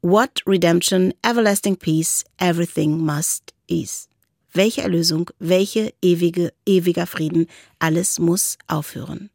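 A woman's voice: on the low side, unhurried, gravelly, a little mellow, dry recording, studio quality. A woman co-hosts a discussion podcast, reading out listener mail and talking it over thoughtfully. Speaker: podcast co-host.

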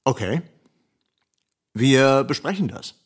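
An actor playing a brisk therapist giving instructions in a radio play. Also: male voice, mid-range voice, big pitch swings, husky, faint music behind, exaggerated voice. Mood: professional. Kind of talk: radio drama acting.

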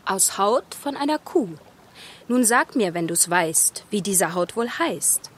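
A woman's voice: medium pitch, lively intonation, slow, husky. A woman playing a delicate, dramatic young fairy-tale maiden in a children's radio play, girlish and plaintive. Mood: reciting.

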